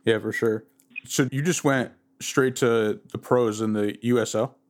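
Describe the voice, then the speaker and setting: A man's voice: mid-range voice, fairly even intonation, measured pace. A man hosting a soccer podcast, asking laid-back questions and giving short replies. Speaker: podcast host. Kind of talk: casual interview.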